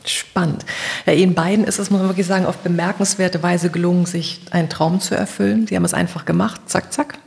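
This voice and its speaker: Woman podcast host, slightly low pitch, creaky voice, conversational interviewing. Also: fairly even intonation, quick pace, darkish tone.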